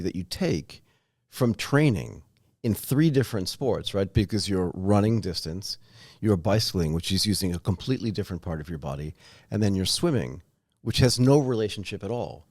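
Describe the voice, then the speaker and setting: A man's voice: fairly low pitch, animated, brisk, darkish tone, no echo, clean studio sound. A man hosting a corporate podcast interview, upbeat and prompting his guests with questions. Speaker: podcast host.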